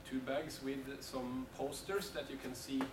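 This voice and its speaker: Male seminar organizer, halting delivery, distant room mic with echo. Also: mid-range voice, steady tone. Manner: hesitant, many fillers and restarts. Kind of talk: announcements at a seminar. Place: meeting room. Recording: echoey room from far off, noisy.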